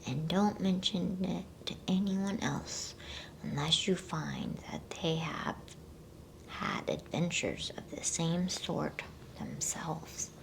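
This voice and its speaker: A woman speaks in a hushed, breathy whisper, low for a female voice. She takes her time, very slowly and soothingly, in the style of an ASMR bedtime host. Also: big pitch swings, poor audio. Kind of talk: ASMR whispered reading and chat.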